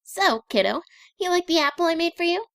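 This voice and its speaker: Woman as a high, lively, breezy pegasus pony. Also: brisk, creaky, dry recording, clean studio sound, full character voice. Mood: cheerful, friendly. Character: breezy, friendly female cartoon pegasus pony. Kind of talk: audio drama fan reading.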